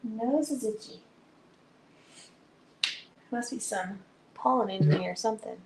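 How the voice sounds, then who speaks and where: A woman hosting a long livestream, chatting excitably to viewers while she works on a puzzle, her voice a little hoarse. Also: a little high, unhurried, roomy sound, background noise. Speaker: livestream host. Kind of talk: casual chat while doing a jigsaw puzzle.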